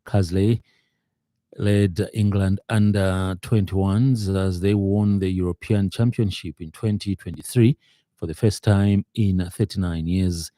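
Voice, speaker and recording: A man's voice: deep voice, steady tone, a little slow, mellow, no echo. A man with a Zambian accent presenting a scripted radio news bulletin from a studio.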